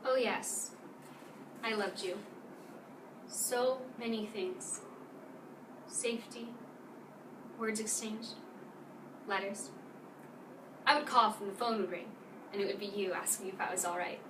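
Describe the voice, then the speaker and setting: A woman's voice: fairly high pitch, natural voice. A woman playing a wistful, proudly independent former lover in a reflective, emotional dramatic monologue. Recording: roomy, distant mic, loud background noise.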